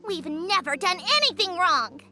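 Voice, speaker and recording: Small earnest creature girl for a game: childlike, very high, highly animated, determined. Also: normal speed, over loud music. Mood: upset, pleading. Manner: earnest, pleading. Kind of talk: game character acting.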